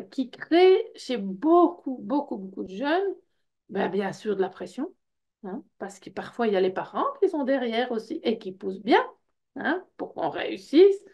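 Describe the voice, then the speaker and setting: A woman's voice: fairly high pitch, darkish tone. A middle-aged woman from Belgium with a Belgian French accent, presenting an information evening for a personal development workshop in a conversational way, full of fillers and the tag word "hein". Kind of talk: informal info session presentation.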